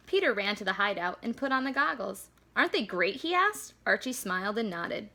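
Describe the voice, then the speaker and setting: A woman reading a children's picture book aloud as an expressive, animated storyteller. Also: medium pitch, a little fast, gravelly. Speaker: school staff reader.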